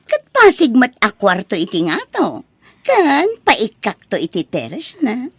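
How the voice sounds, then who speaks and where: Woman acting high, vain, showy young Ilocano woman. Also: wildly swooping pitch, measured pace, husky, no room sound, exaggerated voice. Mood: boastful. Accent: Ilocano Filipino. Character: vain, showy, money-minded young village wife-to-be. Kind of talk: comedic radio drama acting.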